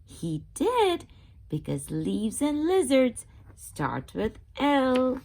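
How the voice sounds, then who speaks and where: Brief: female children's read-aloud host, very slow delivery with lively, expressive intonation. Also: high-pitched, creaky. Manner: expressive, asks listeners questions.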